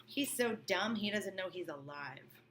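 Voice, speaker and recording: Woman putting on a blunt, forceful rich man. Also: medium pitch, lively intonation, unhurried, a little hiss or hum. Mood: scornful. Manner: blunt, scornful. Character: brash, contemptuous, decisive rich man. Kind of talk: acted dialogue in a read-aloud novel.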